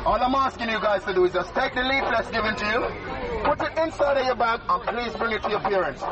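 A man from Jamaica with a Jamaican accent, chanting and talking loudly through a bullhorn outdoors near a school. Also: very high-pitched, fairly quick, a loud crowd. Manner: loud, sing-song, chanting. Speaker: street campaigner.

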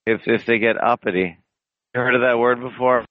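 A man co-hosting an online call show, chatting in a relaxed way. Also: mid-range voice, a little fast, dry recording. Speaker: co-host. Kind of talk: casual online chat. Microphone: video call. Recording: dry lo-fi call audio.

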